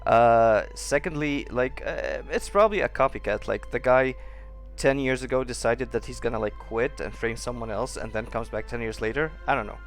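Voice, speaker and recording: A streamer commenting casually over a game, with faint music behind. The voice sits at a middle pitch and sounds amused, loose and rambling. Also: normal speed. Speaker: gaming streamer.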